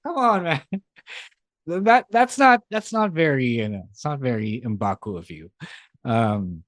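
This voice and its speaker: A man with a Filipino accent co-hosting a podcast, chatting casually in mixed English and Tagalog, animated and laughing often. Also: medium pitch, unhurried, no room sound, clean studio sound. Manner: animated, laughs often, restarts mid-sentence. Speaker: podcast co-host.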